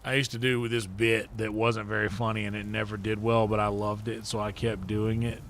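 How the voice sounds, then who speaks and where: A man's voice: fairly low pitch, little pitch movement, brisk, a bit bright. A man hosting a game livestream, chatting casually and rambling as he thinks aloud and mutters to himself. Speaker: livestream host and gamer. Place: indoor livestream setup.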